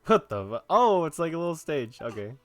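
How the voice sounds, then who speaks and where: A man's voice: a little high, very animated, brisk. A man giving casual, off-the-cuff commentary as he plays through a game. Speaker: game streamer. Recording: game music behind the voice. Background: game music.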